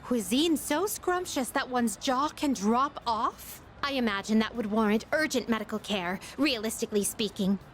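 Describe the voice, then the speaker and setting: High-voiced, elegant, sheltered young lady. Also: a bit bright. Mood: curious, polite. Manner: formal, ornate, precise wording. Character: elegant, curious, formal young woman, sheltered and naive. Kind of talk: video game voice acting.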